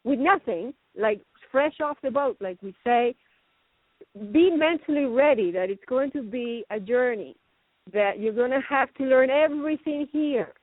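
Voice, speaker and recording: A woman's voice: sits high, lively intonation, measured pace, no room sound. A middle-aged woman raised in Venezuela and Canada, a guest actress chatting in an interview, rambling and laughing often. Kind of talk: casual interview chat. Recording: tinny phone line.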